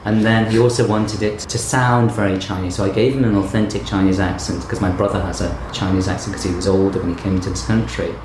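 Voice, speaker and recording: A man's voice: low-pitched, flat delivery, quick pace, hardly any pauses. A middle-aged man, a theatre director, talking in a thoughtful, reflective storytelling way during an interview. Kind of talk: interview conversation. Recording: echoey room with faint background noise.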